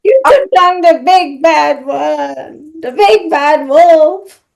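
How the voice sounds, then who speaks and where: A woman's voice: high-pitched, slow pace, creaky, darkish tone. A woman hosting a casual conversation, cutting in eagerly and asking to hear points again. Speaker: host.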